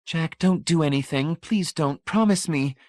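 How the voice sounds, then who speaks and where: An anxious young woman, earnest and pleading, her voice lifted high, as a male audiobook reader plays her. A dry, close storybook reading. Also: normal speed, no room sound, close to an everyday voice. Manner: raised pitch, earnest and pleading.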